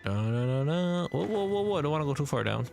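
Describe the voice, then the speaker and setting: A man's voice: on the high side, very animated, quick pace, vocal fry, darkish tone, faint music behind. A young man giving casual, slangy livestream commentary as he plays a game, reacting aloud.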